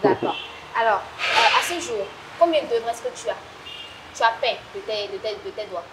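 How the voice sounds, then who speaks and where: Female talk show interviewer, fairly high voice, informal, quick to interject, clear background noise. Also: animated. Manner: informal, interjects and rephrases answers. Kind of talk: conversational interviewing. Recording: clearly noisy ambient background.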